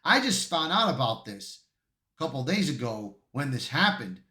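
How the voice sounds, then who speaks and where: A man's voice: medium pitch, very animated, moderate pace, bright, forceful tone. A man giving online commentary, reading out an article with casual asides and stumbling over some words. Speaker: YouTube commentator. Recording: echoey untreated room.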